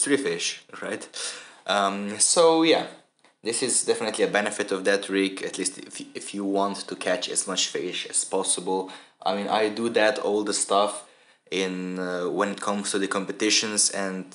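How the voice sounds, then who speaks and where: Low-voiced man, rambling voice-over, echoey. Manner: relaxed, rambling, many fillers. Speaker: fishing channel host. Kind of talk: casual voice-over commentary.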